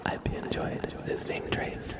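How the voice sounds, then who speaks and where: A man hosting an ASMR livestream, speaking softly and soothingly in a breathy whisper to his viewers. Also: normal speed. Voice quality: breathy whisper, often unvoiced.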